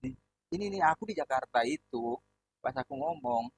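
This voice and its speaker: A young man from Medan with a Medan accent, a big booming voice and a loud, laughing way of chatting as a podcast host on a call. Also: normal speed, soft background music. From Medan, Indonesia. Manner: loud, laughing, self-deprecating. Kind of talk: casual chat over a video call. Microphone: video call audio. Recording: dull lo-fi call audio, dry.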